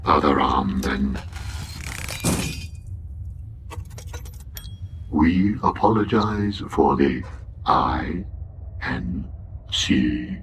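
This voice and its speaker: Worn-out male robot, deep weary drone, doleful, swinging intonation, radio comedy, faint noise behind. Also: expressive, full character voice. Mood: slow, failing. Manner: slow, doleful, sardonic. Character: ancient, worn-out, miserable male robot, gloomy and self-pitying. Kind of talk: radio comedy drama acting.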